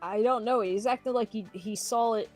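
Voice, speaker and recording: A woman's voice: fairly high pitch, normal speed, a little mellow, faint music behind. A woman guesting on a live reaction stream, blunt and sarcastic, swearing freely. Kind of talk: casual reaction chat.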